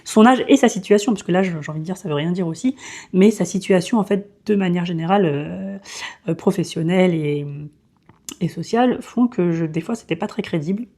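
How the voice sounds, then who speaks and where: A woman's voice: fairly low pitch, fairly quick, mellow. A woman in her thirties giving a casual, hesitant book review full of fillers and restarts. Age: 30s. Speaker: book vlogger.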